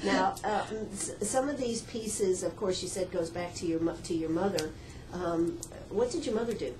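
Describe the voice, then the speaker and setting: A woman's voice: medium pitch, moderate pace, background noise. A woman hosting a radio interview in an art gallery, chatty and effusive, with a folksy way of talking. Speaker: radio host.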